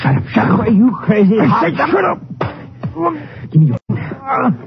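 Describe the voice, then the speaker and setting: An actor playing a violent, agitated criminal who talks with menace in a 1950s radio crime drama. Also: male voice, very high voice, big pitch swings, normal speed, gravelly, full character voice. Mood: menacing, frantic. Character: violent criminal, wild and threatening, later wounded. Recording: tinny, very noisy old radio recording.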